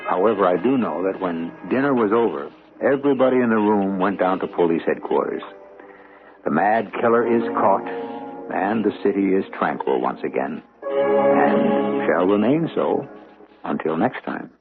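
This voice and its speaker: A man hosting a radio mystery series, narrating from a script in a measured, theatrical way. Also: deep voice, lively intonation. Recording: muffled old radio broadcast. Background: music.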